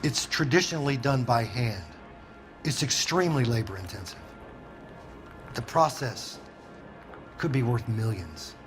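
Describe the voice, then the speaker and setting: A man's voice: mid-range voice, animated, a little slow, pauses often, over music, lightly acted. A man playing an older factory owner, hesitant, evasive and defensive, in a video game drama. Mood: guarded, hesitant.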